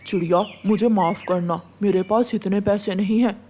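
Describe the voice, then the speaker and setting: A performer voicing a helpful male pigeon in a cartoon, gentle and apologetic. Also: mid-range voice, fairly even intonation, moderate pace, slight background noise, voice pushed down, natural voice.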